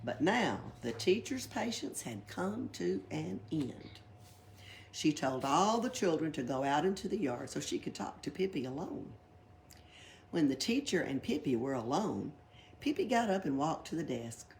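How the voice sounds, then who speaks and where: A woman's voice: sits low, very animated, measured pace, creaky, a little mellow. A woman reading a children's storybook aloud expressively. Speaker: library read-aloud reader. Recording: background noise, library read-aloud.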